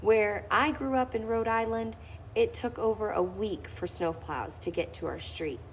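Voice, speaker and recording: A woman's voice: mid-range voice, unhurried, slight background noise. A woman reading a children's picture book aloud, lifting her voice expressively on key phrases. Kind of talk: read-aloud storytelling.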